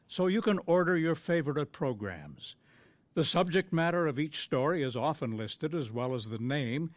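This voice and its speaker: Guy announcer reading a radio script, formal and clear, very dry sound, normal pitch. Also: no echo.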